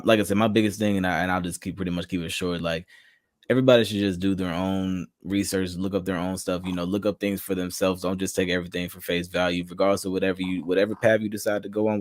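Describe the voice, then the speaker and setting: A man with a low voice hosts a podcast interview. He talks in a relaxed, easygoing way and asks loose, casual questions. The recording is dry and close. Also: no echo. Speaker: podcast host.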